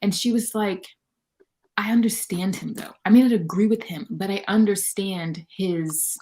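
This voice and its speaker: A woman's voice: mid-range voice, moderate pace, darkish tone. A woman guest talking about her reading in a conversational, informal way on a livestream. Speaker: livestream guest and book reviewer. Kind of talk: casual chat.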